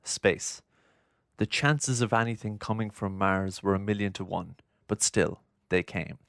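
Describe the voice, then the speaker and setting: A man reads scripted documentary narration in a low voice with plenty of gravelly fry. The recording is dry, with little room sound. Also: steady tone, measured pace, a bit bright, dry recording.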